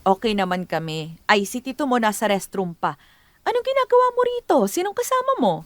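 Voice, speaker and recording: A woman's voice: mid-range voice, big pitch swings, fairly quick, dry recording, natural voice. An actress playing a doting, talkative middle-aged mother in a radio drama, with an effusive, gushing way of talking. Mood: flustered.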